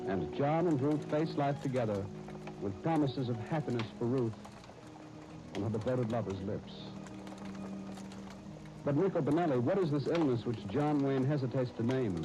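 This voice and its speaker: Music accompanies a genial male announcer on a 1939 radio broadcast. He narrates in a polished period style with a medium-pitched voice. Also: rough recording. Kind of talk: 1930s radio narration and sponsor patter.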